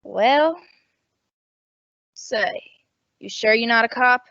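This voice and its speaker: Woman playing a blunt, high-voiced, gruff landlady. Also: takes it slowly, fairly bright tone, no echo, muffled recording, exaggerated voice. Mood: guardedly cooperative. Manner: blunt, slangy, wisecracking. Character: gruff, suspicious, mercenary landlady of a rooming house. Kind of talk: old-time radio detective drama acting.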